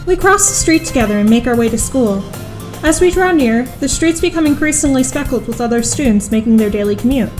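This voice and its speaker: A woman playing a reluctant, exasperated high school girl in an audio drama, with dry, grudging first-person narration and dialogue. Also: lively intonation, fairly quick, a little mellow, faint music behind, natural voice. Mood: wry, resigned.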